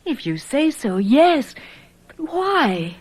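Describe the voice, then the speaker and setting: An actress playing a spirited young fiancée in a radio mystery drama, with an animated, inquisitive manner. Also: female voice, sits high, slow, full character voice. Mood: compliant, curious.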